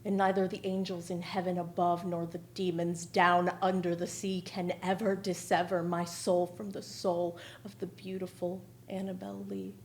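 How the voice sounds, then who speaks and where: A young woman gives a solemn, mournful dramatic reading of verse in a measured manner. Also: lowish voice, little pitch movement, a little mellow, slight background noise. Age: young adult. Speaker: stage performer reciting poetry. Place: theatre stage at a lectern.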